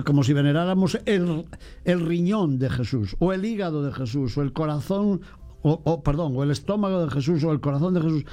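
A priest, chatting about faith in a lively way and mimicking other people's voices. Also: male voice, on the high side. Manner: animated, mimics voices in quotes. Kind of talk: casual chat on faith.

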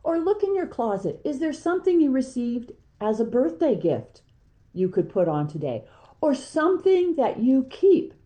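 A woman's voice: high-pitched, sing-song, moderate pace, mellow, breathy edge. A woman guest on a religious talk show, speaking informally and emphatically about faith. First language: not Latin or Spanish; English.

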